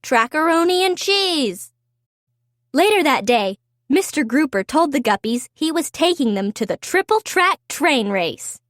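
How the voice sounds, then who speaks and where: A woman reading a story aloud for young children in an expressive, deliberate way. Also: high-pitched, dry recording, clean studio sound.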